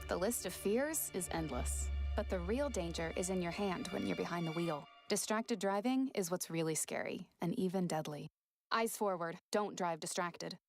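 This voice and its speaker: A female announcer narrates a scripted public service spot in a slightly low voice. The sound is dry and close, with faint music beneath. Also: moderate pace, dry recording.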